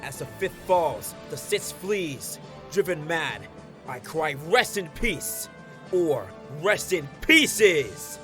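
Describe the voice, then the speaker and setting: High-voiced, grandiose swordsman. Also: very animated, slow pace, bright, forceful tone, faint music behind, heavily acted. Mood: dramatic relish. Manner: bombastic storytelling. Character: grandiose theatrical swordsman boasting of battle. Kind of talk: game character voice acting.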